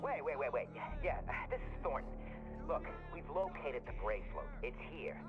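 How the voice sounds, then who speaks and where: An actor playing an excitable small-town policeman in a video game, talking over police radio. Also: on the high side, normal speed. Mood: excited. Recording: very muffled police radio with music.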